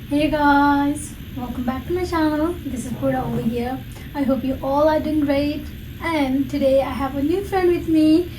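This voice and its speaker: Young woman host, high voice, casual chat, recorded from a distance with background noise. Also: normal speed. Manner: friendly, chatty, asks questions. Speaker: channel host. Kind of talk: casual podcast interview. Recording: echoey room from a distance, noisy.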